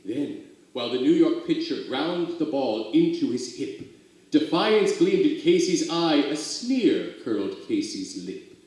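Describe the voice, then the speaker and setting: A man giving a dramatic poetry recital, with expressive, theatrical storytelling and drawn-out emphasis. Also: on the high side. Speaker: reader of poetry for a theater company. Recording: very echoey, roomy sound.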